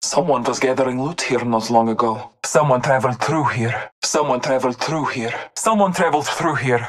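An actor playing a solemn, devout masked hunter and tracker in a video game, speaking gravely with formal, measured phrasing. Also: medium pitch, background noise, close to an everyday voice. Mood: watchful, grave. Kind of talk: video game character callouts.

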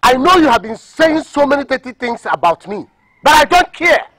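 Casting: defiant Nigerian schemer, high voice with big swings, emphatic and repetitive, film drama. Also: male voice, very animated, normal speed, a bit bright, breathy edge, no echo, full character voice. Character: defiant, scheming man, self-styled progressive, quick to swear oaths. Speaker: actor.

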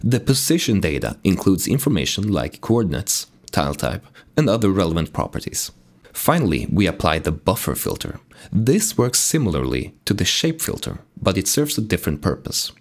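A man with a raspy voice from being sick, calmly explaining code in a tutorial walkthrough. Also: deep voice, moderate pace. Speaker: game dev tutorial host. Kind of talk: screen-recorded coding tutorial narration.

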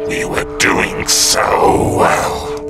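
A man playing a menacing, imperious demon lord in a radio play, with a gravelly voice and threatening delivery. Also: very slow. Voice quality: gravelly, creaky. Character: menacing demon lord commanding a dark army. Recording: loud music over the voice.

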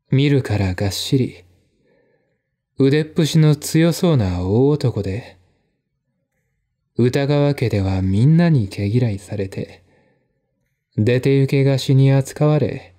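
Guy reading a mystery novel, measured dramatic narration, slow n dark mellow voice. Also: mid-range voice, lively intonation. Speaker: narrator and reader.

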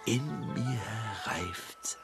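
An actor playing an older, genteel English country gentleman in a German radio play, jovial, mannered and hesitant. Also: medium pitch, lively intonation, extremely slow, creaky, loud music behind the voice, full character voice. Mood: grave, pompous.

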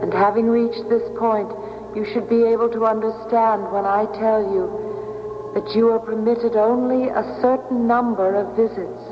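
An actress playing the solemn ghost of a dead wife in a 1970s radio drama, warning in measured, cryptic phrases. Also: female voice, a little high, fairly even intonation, noisy background, close to an everyday voice. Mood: grave. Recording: muffled old radio broadcast, music behind.

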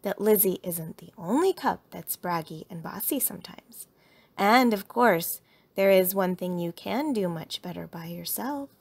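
A woman voicing a calm mother bear in a storybook, reasoning in a measured, quiet, gently teasing way. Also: fairly low pitch, gravelly, slightly rough, full character voice. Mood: quietly wise.

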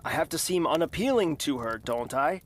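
Woman acting cold young prince, very low pitch, imperious, scheming, arrogant, audio drama. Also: expressive, normal speed, slight background noise. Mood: dismissive.

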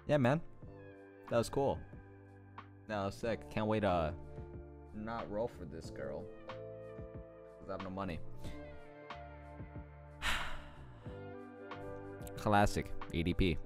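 A man's voice: deep voice, expressive, takes it slowly, long pauses. A young adult man giving casual, joking reaction commentary as a streamer, slangy, sweary and rambling, close on a microphone in a room at home. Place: small room indoors at home. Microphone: close boom-arm microphone with headset. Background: game trailer music.

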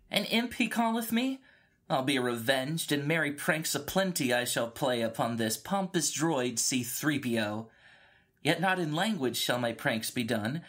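A man's voice: on the high side, a bit bright, husky, heavily acted. A man playing a sly, mischievous little droid, confiding its schemes in a Shakespearean soliloquy for a dramatic reading.

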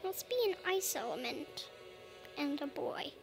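A woman with a high voice narrates her gameplay at an unhurried pace. She muses playfully and casually, half in role. Game music plays behind her. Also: animated, gravelly. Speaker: gamer role-playing as a dragon.

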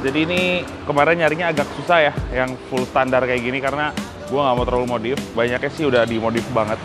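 A young man from Indonesia hosting a casual motorbike unboxing vlog, talking in a chatty, excitable way in an outdoor garage yard. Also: mid-range voice, fast, music in the background. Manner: chatty, excitable, swears and laughs. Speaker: motovlog host.

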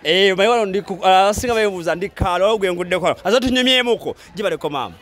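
Street interview host: man, Ugandan, quick delivery, high register, playful and repetitive presenting. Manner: animated, repetitive, bantering. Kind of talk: lively vox-pop presenting. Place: busy open-air market.

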